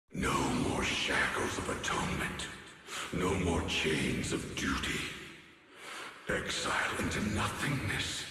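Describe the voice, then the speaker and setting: An actor playing a grave, weary martial master in a game, speaking in a solemn, measured way. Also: male voice, sits low, pushed and bright, heavy reverb, long reverb tail, heavily acted. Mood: ominous, taunting. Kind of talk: dramatic game voice acting.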